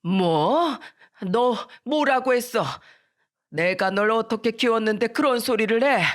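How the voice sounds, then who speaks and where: A woman's voice: fairly high pitch, a little slow, pitched above the performer's own voice, close to an everyday voice. A woman voicing a long-suffering old grandmother in a dramatized story, reproachful and lamenting. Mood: shocked, scolding.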